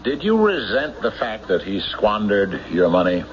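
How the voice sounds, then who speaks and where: An actor playing a suspicious American sheriff in a radio mystery, questioning deliberately with measured pauses. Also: male voice, fairly low pitch, sing-song. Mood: pressing, accusing. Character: suspicious, probing male county sheriff. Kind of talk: radio mystery drama acting. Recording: muffled, hissy old radio recording.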